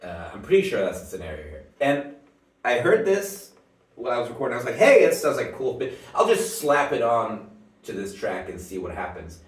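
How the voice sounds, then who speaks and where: A man's voice: mid-range voice, big pitch swings, fairly quick, reverberant, distant mic. A man whose first language is Swedish, from Finland, casually and ramblingly explaining his old tape recordings, swearing and laughing at himself now and then. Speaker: musician and video creator.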